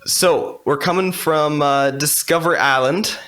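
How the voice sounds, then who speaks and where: A man co-hosting a podcast, chatting casually through a walkthrough in a halting, loosely worded way. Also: fairly high pitch, sharp tone. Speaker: podcast co-host.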